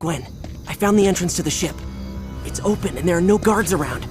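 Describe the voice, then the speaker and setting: A man's voice: high voice, lively intonation, a little fast. Joking teen hero boy, determined, game music going behind. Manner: determined, wisecracking. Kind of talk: video game voice acting.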